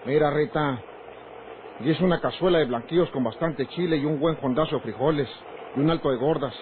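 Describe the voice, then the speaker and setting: An actor playing a bossy, short-tempered ranch man with a gruff rustic Mexican manner in an old radio drama. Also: on the high side, brisk, close to an everyday voice. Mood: irritated, threatening. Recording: noisy, tinny old radio recording.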